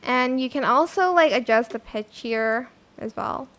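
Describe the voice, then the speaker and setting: A woman with a notably high-pitched voice narrates a software tutorial as its host. Her delivery is casual and conversational, with informal phrasing as she explains things step by step. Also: measured pace.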